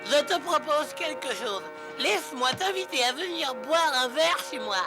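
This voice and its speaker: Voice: high-pitched, expressive, a little slow, over music. An actor voicing a friendly, loyal small animal companion in a cartoon dub, polite. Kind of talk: French cartoon dub acting.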